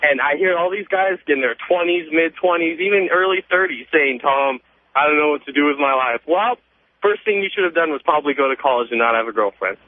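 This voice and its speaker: A man's voice: a little high, expressive, a little fast. A nineteen-year-old American man calling a radio show, chatting casually in a cocky, emphatic, rambling way. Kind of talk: casual phone-in chat. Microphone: telephone. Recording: narrow noisy phone line on radio.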